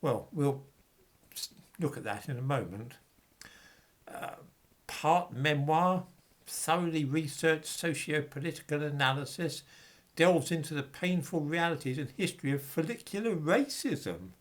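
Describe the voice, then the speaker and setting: A middle-aged man talks to camera, reading out passages and breaking off with dry, dismissive remarks. His voice is slightly husky and his pitch rises and falls in a lively way. He sounds sarcastic and unimpressed. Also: measured pace. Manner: dismissive, sarcastic asides while reading quotes. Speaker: commentator on history books. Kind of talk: reading aloud and commenting to camera.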